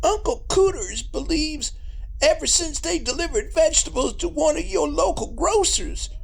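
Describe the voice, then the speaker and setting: A woman's voice: sits high, expressive, moderate pace, vocal fry. A woman playing an earnest, naive hillbilly sidekick with a rural Southern accent and ungrammatical folksy speech in a comedy audio drama.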